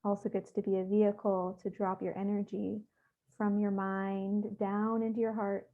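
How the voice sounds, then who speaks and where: A woman with a mid-pitched voice guides a meditation through narrow, phone-like audio. She speaks gently and evenly, leaving pauses between soft instructions. Her delivery is soothing and unhurried, with little rise and fall. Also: flat delivery.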